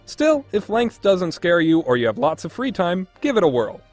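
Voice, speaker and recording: Higher-pitched, lively man reading a review. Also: moderate pace, soft background music. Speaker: reviewer, list host. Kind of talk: scripted review narration.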